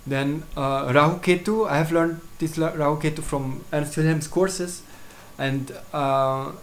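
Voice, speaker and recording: A man from Switzerland whose first language is German, speaking English in a casual solo video about his astrology services, halting often with fillers and restarts. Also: a little high, steady tone, slight background noise.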